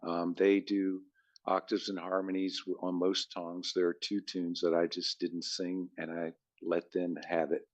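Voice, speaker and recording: A man with a very low bass voice, answering interview questions as a musician guest, rambling with frequent restarts. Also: monotone, a little slow, dull audio.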